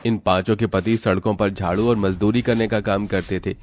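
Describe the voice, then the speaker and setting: A man's voice: lowish voice, steady tone, normal speed, a little mellow, no echo. A man narrating a scripted cartoon moral tale as its storyteller.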